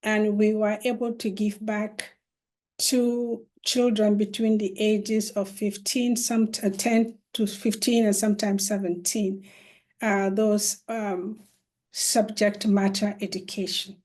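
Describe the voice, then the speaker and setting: A middle-aged woman from northern Nigeria speaks on a video call panel. She talks very slowly and earnestly, and she restarts her phrases with many fillers. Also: medium pitch, little pitch movement, a little mellow. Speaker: panelist, law professor and educator. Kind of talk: virtual panel talk.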